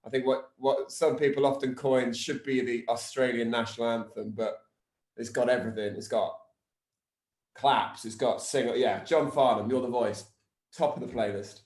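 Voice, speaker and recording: A man's voice: mid-range voice, fairly even intonation, moderate pace, a little mellow, roomy sound. A man introducing himself on a panel over a video call, winging it with hesitant fillers and restarts.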